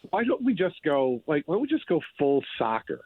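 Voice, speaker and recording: A man's voice: fairly high pitch, sing-song, a little fast, slightly rough, no echo. Emphatic man on a phone-like line. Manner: emphatic, repeats phrases for effect. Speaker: sports writer interview guest. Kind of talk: conversational sports commentary.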